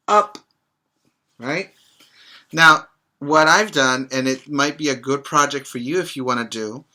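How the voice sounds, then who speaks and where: A middle-aged man with a bright, clear-cut edge to his voice teaches preschoolers. He takes his time, reading a picture book aloud and pausing to explain and check in with the children. His manner is instructive and simple. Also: medium pitch. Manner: slow, instructive, addressing children with check-in questions.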